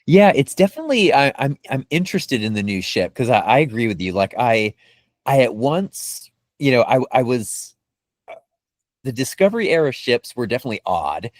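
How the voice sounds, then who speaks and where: A man's voice: mid-range voice, big pitch swings, normal speed, no echo, studio quality. A man co-hosting a podcast in casual fan discussion, enthusiastic and rambling, repeating words as he goes. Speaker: podcast co-host.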